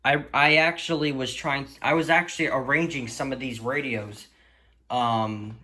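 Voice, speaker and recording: A man's voice: medium pitch, steady tone, a little slow, pushed and bright, a little hiss or hum. A man in a casual, unscripted hobby vlog, rambling and stammering as he rants, stretching out words for emphasis. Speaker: hobbyist video creator showing a radio collection.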